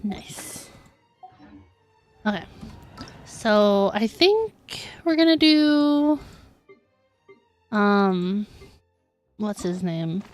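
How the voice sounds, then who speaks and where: A woman's voice: mid-range voice, sing-song, drawn-out words, pauses often, soft background music. A woman livestreaming a game, chatting casually and informally with her viewers. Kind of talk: casual chat while streaming gameplay.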